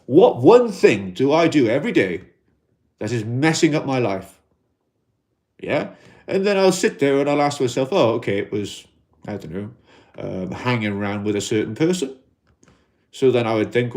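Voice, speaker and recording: Welsh man, mellow reflective livestream host. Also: mid-range voice. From Wales. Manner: gentle, reflective, many "you know" fillers. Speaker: livestream host in an alien costume. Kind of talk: casual livestream chat reading comments.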